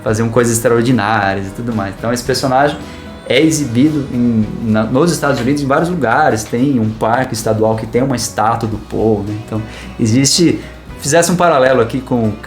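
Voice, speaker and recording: A man co-hosting a casual film talk show, speaking in a relaxed, meandering way with many fillers. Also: medium pitch, fairly quick. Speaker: podcast co-host. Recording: roomy echo, faint guitar music.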